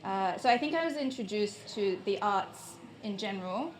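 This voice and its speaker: A woman's voice: mid-range voice, expressive, moderate pace, low-quality recording. A young woman from New Zealand, a painter, speaking reflectively and hesitantly into a handheld microphone at a live gallery talk. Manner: reflective, hesitant, thinking aloud. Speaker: painter, invited artist. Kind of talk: live public conversation. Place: gallery space with an audience.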